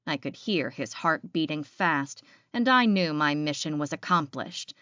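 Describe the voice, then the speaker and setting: Female audiobook narrator for first-person fiction: low register, dry and slightly muffled recording. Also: expressive, measured pace, a bit bright, dry recording.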